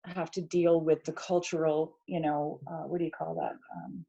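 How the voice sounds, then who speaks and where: A woman's voice: low voice, level tone, moderate pace, vocal fry, mellow, highs cut off. A middle-aged woman from Canada in casual conversation, talking reflectively with many fillers and restarts. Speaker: podcast guest.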